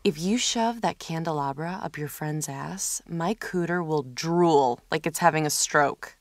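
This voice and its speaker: A woman's voice: low-pitched, measured pace, no echo, studio quality. A woman voicing a jaded, foul-mouthed, menacing cartoon femme fatale, sarcastic and contemptuous. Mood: teasing.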